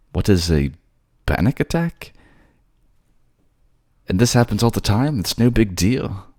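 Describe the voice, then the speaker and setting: A man voicing a gentle, protective male sea creature in an ASMR roleplay, tender and earnest. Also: on the low side, slightly rough, dry recording, clean studio sound, pitched above the performer's own voice, heavily acted.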